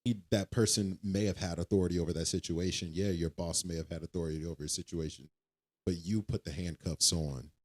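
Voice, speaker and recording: A man's voice: sits low, steady tone, a little fast. A man co-hosting a casual faith-based podcast, talking in a reflective way that repeats and trails off. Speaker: podcast co-host.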